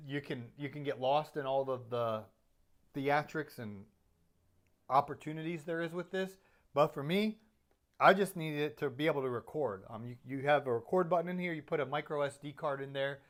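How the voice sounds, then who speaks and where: A man who is a podcaster, casually reviewing his audio gear in a chatty, self-deprecating way with lots of fillers and restarts. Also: fairly high pitch.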